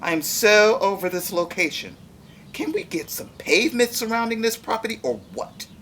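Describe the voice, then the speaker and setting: A high, animated, whiny cornstalk played by a woman reading a storybook grumbles with sassy exasperation. The self-important plant sounds loudly fed up, with faint hiss in the recording. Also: normal speed, natural voice. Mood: exasperated.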